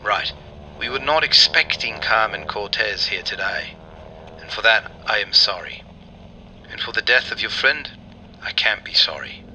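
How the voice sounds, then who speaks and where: Very sharp, matter-of-fact spymaster. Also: male voice, fairly low pitch, expressive, unhurried, a little hiss or hum, heavily acted, low-quality recording. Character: matter-of-fact male spymaster explaining schemes. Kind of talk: radio drama acting.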